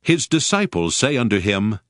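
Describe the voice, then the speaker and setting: A man's voice: on the low side, big pitch swings, normal speed, a bit bright, no echo, studio quality. A man narrating scripture from a script as the narrator of a dramatized reading.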